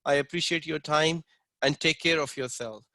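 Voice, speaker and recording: A man with a high voice teaches an online class in an earnest, instructive way, now and then acting out short street dialogues. The sound is dry and close, with no room around it, as on a video call. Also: moderate pace, pushed and bright, no echo. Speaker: book distribution instructor.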